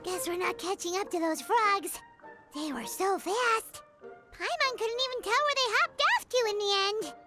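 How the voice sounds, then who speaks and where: A woman voicing a small, excitable, easily worried fairy companion in a video game, with a squeaky childlike voice and an exclamatory manner. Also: unhurried, music in the background. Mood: bewildered. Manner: refers to self in third person, exclamatory. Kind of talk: video game character dialogue.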